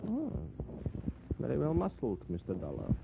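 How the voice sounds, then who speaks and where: A man playing an eccentric foreign doctor in a 1940s radio drama, muttering haltingly with clinical fascination. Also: mid-range voice, huge pitch swings, vocal fry. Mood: coolly fascinated. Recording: noisy, tinny 1940s radio recording.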